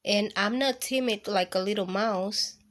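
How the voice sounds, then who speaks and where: A woman's voice: mid-range voice, measured pace, fairly bright tone, pitched below the performer's own voice, natural voice. A woman voicing a small child who insists she is big, strong and brave, with a boastful, childlike manner.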